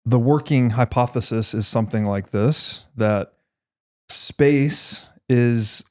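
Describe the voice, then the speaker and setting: A man hosting a podcast, explaining ideas conversationally in a thoughtful, measured and halting way as he reasons aloud. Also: mid-range voice, darkish tone. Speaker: podcast host.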